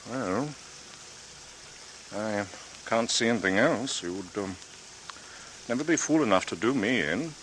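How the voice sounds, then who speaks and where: Nervous low-voiced captain, old radio. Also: male voice, expressive, unhurried, long pauses, fairly bright tone. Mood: wary. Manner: hesitant, stammering. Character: cornered, nervous sea captain pushed into complicity. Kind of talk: 1940s radio acting. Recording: noisy vintage radio drama recording.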